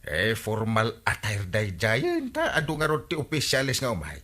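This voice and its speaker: Bossy Ilocano village captain, very animated. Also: male voice, on the low side, normal speed, full character voice. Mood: insistent, bossy. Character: bossy, excitable male village captain in a rural comedy. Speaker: radio drama actor. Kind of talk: Filipino radio comedy drama acting.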